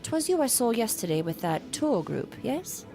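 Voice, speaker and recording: A woman's voice: a little high, very animated, moderate pace, mellow. Eccentric gothic lady scientist acted by a woman, imperious, knowing, theatrical, music underneath. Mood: haughty, probing. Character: eccentric, imperious female gothic doctor, secretive researcher. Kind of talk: gothic audio drama acting.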